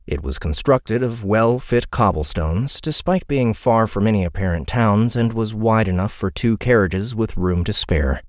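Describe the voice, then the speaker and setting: A man narrating a fantasy audiobook in an expressive, dramatic storytelling style. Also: deep voice, dry recording, studio quality.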